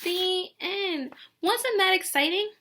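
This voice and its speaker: A woman teacher reading a picture book aloud to young children in an animated way, often putting questions straight to them. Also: very high-pitched, slow.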